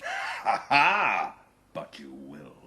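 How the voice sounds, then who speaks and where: An actor playing a proud, bullying eighteenth-century gentleman adventurer in a radio drama, pompous and commanding. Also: very deep voice, huge pitch swings, drawn-out words, breathy edge, exaggerated voice. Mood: angry, threatening. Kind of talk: radio drama narration and dialogue.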